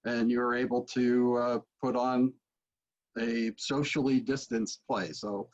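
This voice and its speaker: Guy in his 40s moderating an online chat, mid pitch, kinda muffled lo-fi webcam sound. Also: little pitch movement, slow, darkish tone. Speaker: host, interviewer. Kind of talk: casual moderating talk. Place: book-lined home study, video call. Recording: dull, lo-fi video call audio.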